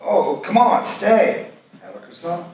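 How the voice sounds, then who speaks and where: An actor playing an eager, corny boyfriend who fancies himself a guitar crooner, in a live table read. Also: male voice, sits high, a little slow, darkish tone, a little hiss or hum. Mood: friendly, eager. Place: live festival reading in an echoey room. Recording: echoey hall, far from mic.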